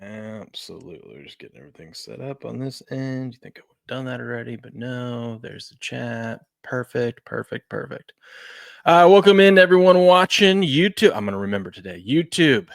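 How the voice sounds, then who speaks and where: A man in his thirties hosting a live sports betting show, chatting casually and swinging between mumbles and loud hype. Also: medium pitch, lively intonation, moderate pace, gravelly, no echo, studio quality. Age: 30s. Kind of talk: casual live-stream chat.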